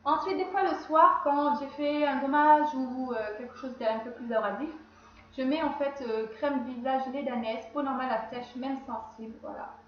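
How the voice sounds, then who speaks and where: Woman, stuffy from a cold, rambling vlog chat; high voice, slightly dull sound. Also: fairly even intonation, normal speed, very echoey, a little hiss or hum. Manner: chatty, rambling, often corrects herself. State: has a cold. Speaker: beauty vlogger. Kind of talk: casual skincare routine chat.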